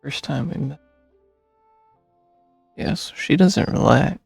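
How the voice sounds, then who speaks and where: A man with a gravelly, husky voice giving casual gameplay commentary. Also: a little high, takes it slowly, faint music behind. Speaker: gamer playing and reacting.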